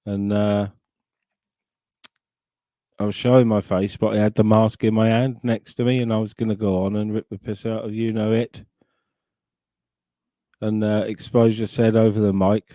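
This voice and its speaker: A man's voice: lowish voice, warm tone, no echo. A middle-aged man from Sussex with an English accent, chatting casually and swearing on a live stream into a desk microphone. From England. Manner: sweary, rambling banter. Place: home, live stream call.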